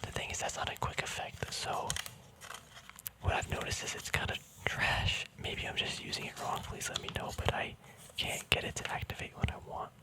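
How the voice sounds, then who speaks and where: A man whispers throughout, with no real voice behind the breath. He chats in a relaxed, informal ASMR manner, rambling softly as he handles trading cards. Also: moderate pace, poor audio. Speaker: ASMR card game vlogger.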